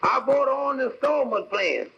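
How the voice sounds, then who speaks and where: An actor playing a simple, earnest, literal-minded country cab driver in a 1940s radio comedy. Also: male voice, very high voice, lively intonation, moderate pace, gravelly, bright, forceful tone, slightly rough, full character voice. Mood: earnest, folksy. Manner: folksy, literal-minded, ungrammatical. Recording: muffled old 1940s radio recording.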